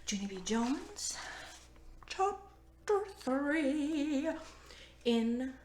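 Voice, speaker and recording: A woman's voice: high voice, very animated, drawn-out words, darkish tone, slight background noise. A woman hosting a children's storybook read-aloud, playful and informal as she chats to young listeners and narrates. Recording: roomy, echoey room sound.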